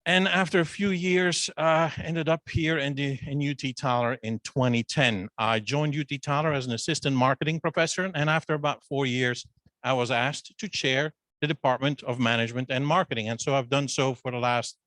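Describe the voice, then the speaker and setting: A man's voice: mid-range voice, fairly even intonation, moderate pace, a bit bright, no echo, rough recording. Middle-aged Belgian man, Flemish accent. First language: Dutch. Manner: loose and conversational, restarts with fillers. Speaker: business school dean. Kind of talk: introductory presentation at a meeting. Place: meeting with a Zoom link. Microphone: handheld microphone.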